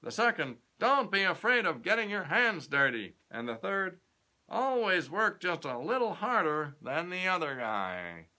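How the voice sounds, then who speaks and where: A man reading a story aloud and voicing a loud, self-important older company president who lectures about his rules for success. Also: high-pitched, very animated, unhurried, pitched above the performer's own voice, exaggerated voice. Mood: pompous.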